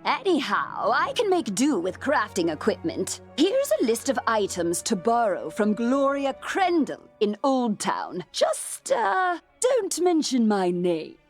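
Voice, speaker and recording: Bossy wry alchemy teacher in a game, highish lively voice, music under it. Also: female voice, unhurried, hardly any pauses, full character voice. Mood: wry, aggrieved. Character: brisk, gossipy outcast alchemy professor, a woman. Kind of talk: video game character dialogue.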